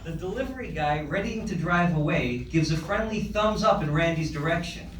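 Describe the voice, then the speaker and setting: A man's voice: fairly high pitch, animated, moderate pace, a bit bright. A man reading stage directions as scripted narration at a live screenplay table read. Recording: echoey room, distant mic, clear background noise.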